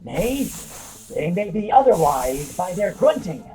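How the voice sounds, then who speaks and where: A man's voice: high voice, big pitch swings, measured pace, warm tone, faint music behind, exaggerated voice, rough recording. A man playing an earnest, fussy tavern drunk in a fantasy comedy audio drama, speaking in theatrical, mock-solemn archaic style. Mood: alarmed.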